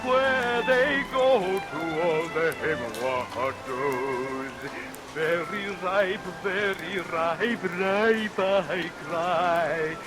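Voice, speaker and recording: An actor playing a scheming, murderous villain barber in a vintage radio melodrama, declaiming theatrically and relishing each threat. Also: male voice, high voice, animated, drawn-out words, over loud music, heavily acted. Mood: sing-song, menacing. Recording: vintage radio recording under rain noise.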